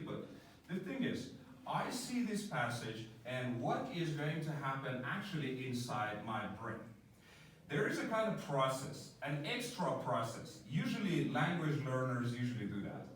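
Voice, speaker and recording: A man teaches an English class in a bright, animated voice, walking his students through each idea and often checking in with "right?". He sounds a little distant from the microphone, and the room gives back a strong echo with a faint hiss underneath. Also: mid-range voice. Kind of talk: classroom lecture.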